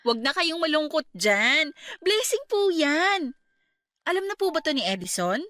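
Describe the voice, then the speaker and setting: A woman playing a caring, excitable young niece, polite and emphatic, in a radio drama. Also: high-pitched, normal speed, no echo, heavily acted. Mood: reassuring. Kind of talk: Tagalog radio drama acting.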